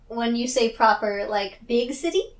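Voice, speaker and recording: A woman's voice: on the high side, lively intonation, measured pace, recorded from a distance. A woman chatting casually and tossing quips as a player in a tabletop game.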